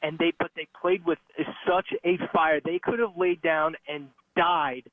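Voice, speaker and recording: A male caller comes through a tinny phone line with a slightly high voice. He talks casually and earnestly as a sports fan, pressing his point insistently. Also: steady tone, a little slow, no echo. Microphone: telephone.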